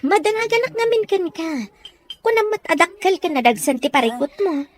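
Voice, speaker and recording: Concerned young Ilocano friend, acted by a woman: high, lively pitch, coaxing manner, podcast drama. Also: normal speed, slight background noise. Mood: concerned, questioning. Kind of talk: comedy-drama podcast acting.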